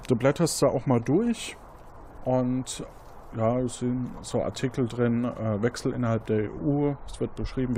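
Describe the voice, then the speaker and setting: A man's voice: medium pitch, darkish tone, a little hiss or hum. A man hosting as game master, narrating an interactive detective game in a conversational, improvised manner.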